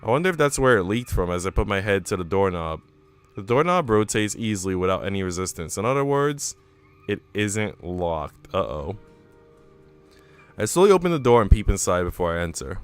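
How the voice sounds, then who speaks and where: A man's voice: low-pitched, very animated, a little fast, smooth. A man live-streaming a visual novel, reading it aloud and chatting casually in slangy asides. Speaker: game streamer. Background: music.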